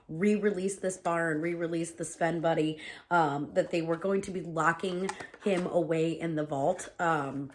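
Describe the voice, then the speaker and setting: A woman with a low voice, stuffy and congested from a cold, talks casually at home about her favorite scents. She is chatty and loose, filling her sentences with "um" and "like." Faint noise sits behind her. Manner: chatty, full of "um" and "like". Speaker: vlogger reviewing home fragrances. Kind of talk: casual product review chat.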